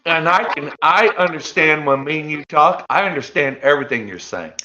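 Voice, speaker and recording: A man's voice: fairly high pitch, expressive, moderate pace, pushed and bright, muffled recording. A man chatting casually with a friend on a live stream, teasing him good-humouredly. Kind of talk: casual chat.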